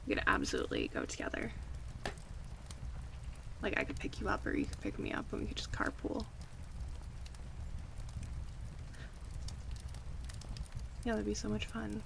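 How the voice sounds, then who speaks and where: A woman playing an affectionate, playful close friend in a casual, relaxed ASMR roleplay, chatting and teasing in a conversational way. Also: medium pitch, brisk, gravelly, pauses often, noisy background, exaggerated voice. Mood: warm, interested.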